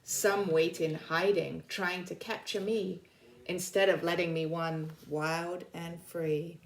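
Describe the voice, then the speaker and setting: Sleek solitary storybook snow leopard, read by a woman, proud, deliberate punchy verse, roomy echo. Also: lowish voice, fairly even intonation. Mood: proud, wary. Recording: roomy, echoing indoor sound.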